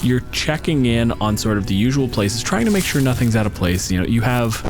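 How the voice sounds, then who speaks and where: A man's voice: mid-range voice, animated, fairly quick, over music. A man narrating a tabletop roleplaying game as game master, in a casual, conversational way with offhand swearing.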